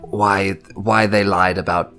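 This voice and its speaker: A man's voice: deep voice, little pitch movement, takes it slowly, creaky, fairly bright tone. A man with a New Zealand accent chatting casually as a player at a tabletop game. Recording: music behind the voice. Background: faint music.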